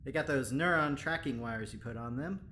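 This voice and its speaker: A man's voice: mid-range voice, fairly quick, pushed and bright, slight background noise, natural voice. A man playing an anxious, well-meaning young lab assistant in an audio drama, with a hesitant, stammering manner. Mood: concerned, tentative.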